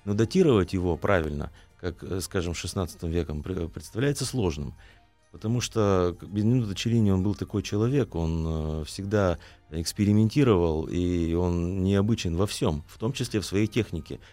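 A man speaking as an interview guest, explaining in a measured, halting way and choosing words carefully. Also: low voice, mellow, no room sound, faint music behind. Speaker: studio guest, art collector.

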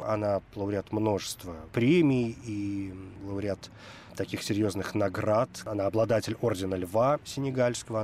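A man presenting on the radio, telling stories and talking about literature in an expressive way. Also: lowish voice, slight background noise. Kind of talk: expressive storytelling and literary talk.

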